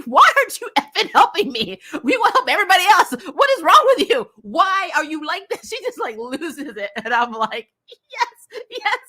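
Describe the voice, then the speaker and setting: A woman hosting a casual, opinionated TV recap, talking in an animated, exasperated way with swearing and frequent laughter. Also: sits high. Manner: acts out imagined dialogue. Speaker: host of a TV recap show. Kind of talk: casual opinionated review commentary.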